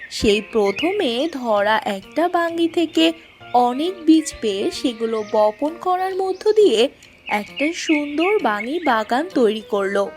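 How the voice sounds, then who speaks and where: A woman's voice: a little high, a little mellow. A woman narrating a scripted children's story as its storyteller.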